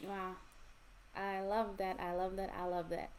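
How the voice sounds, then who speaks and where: A woman's voice: medium pitch, flat delivery, measured pace, a little mellow, a little hiss or hum. A woman hosting a conversational interview podcast in an enthusiastic, encouraging way.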